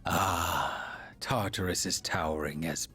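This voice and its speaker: An actor voicing an eerily polite, menacing villain in a video game, theatrical and drawn-out. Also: male voice, sits low, steady tone, pushed and bright, full character voice. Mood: calm menace.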